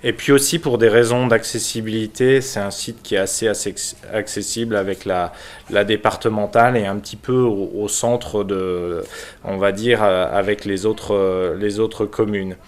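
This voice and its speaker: A man from France who is a local mayor, giving an unscripted explanation in a halting, hesitant manner with frequent pauses. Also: on the low side, steady tone. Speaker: village mayor and local councillor.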